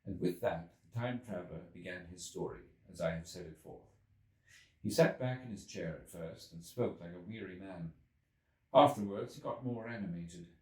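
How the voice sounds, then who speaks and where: A man's voice: sits low, normal speed, a little mellow. A man reading a literary story aloud as scripted narration, in a dramatic storytelling manner. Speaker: audiobook reader. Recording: roomy echo, distant from mic.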